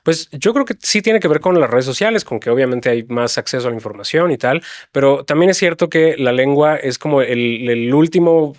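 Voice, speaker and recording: A man's voice: medium pitch, lively intonation, fairly quick, hardly any pauses, no room sound. Thoughtful man explaining, dry studio sound. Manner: thoughtful, explains with many hedges and fillers. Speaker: linguist and writer, guest. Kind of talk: explanatory conversation.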